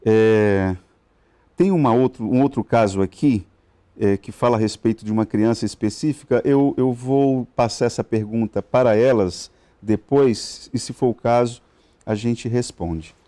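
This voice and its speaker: Hesitant male TV host, mellow voice. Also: mid-range voice, unhurried. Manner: hesitant, many fillers and restarts. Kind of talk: live TV interview. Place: TV studio.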